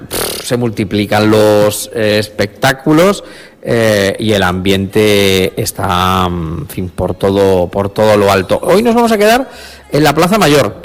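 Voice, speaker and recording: A man's voice: lowish voice, big pitch swings, slow, big-room sound. A man, reporting live for radio from a festival venue and hesitating often with fillers. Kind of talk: on-site radio interview. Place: palace courtyard at a theatre festival.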